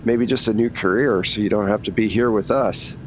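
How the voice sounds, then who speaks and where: A man's voice: lowish voice, a little fast, natural voice. A man voicing an easygoing, wry jailhouse lawyer in casual, frank tones for an improvised tabletop roleplay. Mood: practical. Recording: steady background hum and noise.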